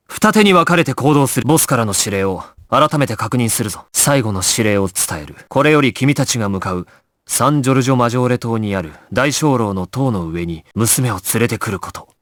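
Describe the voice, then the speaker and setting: A male actor plays a young gangster squad leader from an anime game. He is grave and decisive, issuing clipped orders in a mid-pitched voice. The sound is very dry and close. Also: no echo, close to an everyday voice. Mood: measured, reading orders. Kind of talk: dramatic anime video game voice acting.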